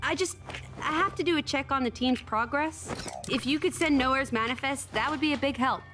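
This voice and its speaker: A woman playing a brisk, polite young heroine in a video game, casual in manner. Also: sits high, over music, natural voice. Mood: polite, distracted.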